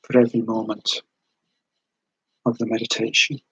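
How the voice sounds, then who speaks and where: A man leading a guided meditation in a gentle, soothing, unhurried way, with long pauses between short phrases and a breathy edge to the voice. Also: lowish voice, completely flat delivery, no echo.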